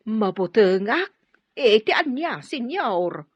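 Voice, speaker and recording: A woman's voice: on the high side, very animated, takes it slowly, slightly rough, no echo, highs cut off, exaggerated voice. An actress playing a nervous, deferential housemaid in a radio drama, who keeps calling her employers sir and ma'am. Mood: flustered. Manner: deferential, flustered. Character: nervous middle-aged female housemaid, eager to please.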